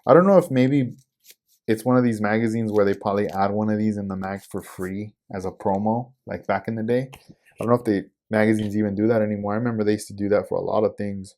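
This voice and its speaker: A man's voice: lowish voice, fairly even intonation, fast, darkish tone. A man in his forties hosting a casual podcast, talking loosely about new hobby shop stock with lots of fillers. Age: 40s. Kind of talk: casual product rundown.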